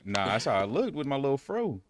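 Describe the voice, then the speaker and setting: A man's voice: mid-range voice, very animated, fairly quick, a little hiss or hum. A young man co-hosting a TV reaction, chatting casually, swearing freely and laughing often. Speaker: co-host reacting to a TV series.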